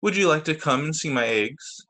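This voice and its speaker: A man's voice: on the high side, lively intonation, moderate pace, bright, forceful tone, pitched above the performer's own voice. A man voicing a proud but easily saddened mother hen in a children's storybook read aloud. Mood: friendly, hopeful. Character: proud mother hen, growing glum and wistful.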